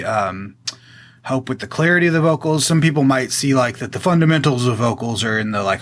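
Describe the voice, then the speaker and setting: A man with a boomy voice explaining audio mixing in a relaxed, casual way, often pausing with fillers. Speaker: guest audio engineer.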